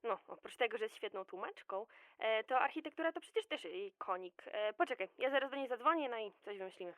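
Woman acting a chatty, motherly office fixer, higher-pitched. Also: expressive, fast, no room sound, close to an everyday voice. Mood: reassuring. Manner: soothing, coaxing, full of endearments. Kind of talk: amateur audio drama acting.

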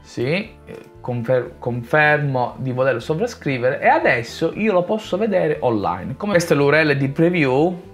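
Man explaining casually, lively pitch. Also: moderate pace. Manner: informal, explanatory. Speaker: host of a coding channel. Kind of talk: casual screencast tutorial narration. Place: small home room, indoors. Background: faint background music.

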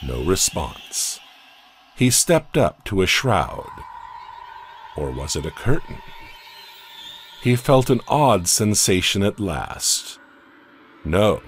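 A man narrating a dark audio comic story in a scripted, dramatic way, often dropping to a hush at the ends of lines. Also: sits low, a little hiss or hum. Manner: slow, dramatic storytelling with hushed drops.

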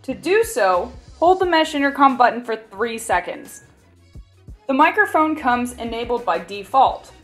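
A woman's voice: a little high, very animated, a little slow. A woman reading a scripted instructional narration for a product tutorial. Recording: music bed behind voice. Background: background music.

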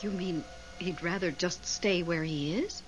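A woman's voice: fairly low pitch, measured pace, lightly acted. A woman playing a devoted, insistent middle-aged widow with an emotional, theatrical delivery in a 1970s radio drama. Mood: stubborn, wistful. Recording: dull, noisy old radio broadcast recording.

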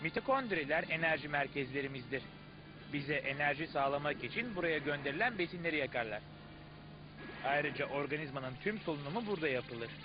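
Man acting a high-voiced, proud cell tour guide. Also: animated, brisk, close to an everyday voice. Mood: proud explaining. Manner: didactic, showman-like. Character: proud adult cell guide, explaining and lecturing. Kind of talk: dubbed educational cartoon acting. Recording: dull lo-fi audio, music behind.

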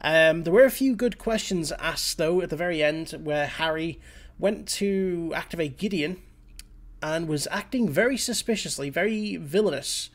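A man's voice: on the high side, moderate pace. Young man with a cold, opinionated. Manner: opinionated, talks straight to camera with fillers. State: slightly scratchy voice from a cold. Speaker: reaction channel host. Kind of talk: casual reaction commentary. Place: small home room, indoors. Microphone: headset.

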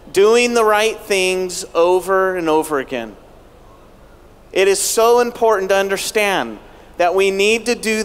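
A man's voice: sits high, clear, resonant tone. A middle-aged man giving a motivational keynote speech on a large arena stage into a handheld microphone, rallying the crowd with rhetorical questions. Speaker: keynote speaker. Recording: long arena reverb.

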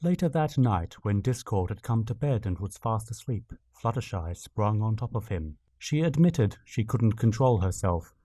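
A man's voice: lowish voice, lively intonation, moderate pace, few pauses, warm tone. A man giving scripted narration as the storyteller of a fan-made radio play.

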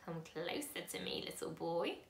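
Woman putting on a creaky, secretive old man. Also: on the low side, lively intonation, roomy sound. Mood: coaxing. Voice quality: slow and creaky. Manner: coaxing, secretive whispers. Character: small, bald, whiskered, sly old man.

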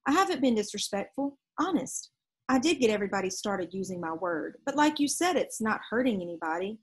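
A woman's voice: mid-range voice, very animated, fairly quick, slightly rough. A woman voicing an earnest, self-justifying fifth-grade boy in a storybook. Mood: earnest, defensive.

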